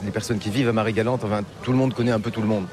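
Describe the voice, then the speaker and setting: Un homme mène un entretien et pose des questions longues et reformulées, avec des hésitations. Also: male voice, fairly low pitch, steady tone, very fast. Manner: wordy, rephrasing questions with fillers. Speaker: interviewer. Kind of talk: casual interview questions. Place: outdoor market. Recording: busy crowd noise outdoors. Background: crowd chatter, passing vehicles.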